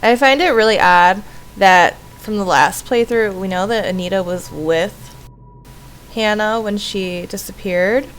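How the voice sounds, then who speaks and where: A woman's voice: medium pitch, measured pace, poor audio. A woman reading a visual novel aloud and commenting as she plays. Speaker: streamer playing a visual novel. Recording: faint background noise.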